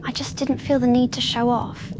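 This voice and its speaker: A woman voicing a bright, eager schoolgirl witch with an earnest delivery in a fan-fiction audio story. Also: on the high side, flat delivery, moderate pace, noisy background, close to an everyday voice. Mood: irritated. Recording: loud rain and thunder ambience.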